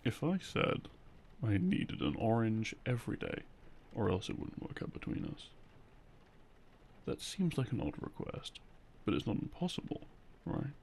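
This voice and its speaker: A man playing a loving, gently teasing boyfriend in an intimate ASMR roleplay, speaking softly and close to a binaural microphone. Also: medium pitch, sing-song, a little slow, vocal fry. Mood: tender, reassuring. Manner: soft, hushed, close and coaxing. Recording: close binaural recording, faint noise.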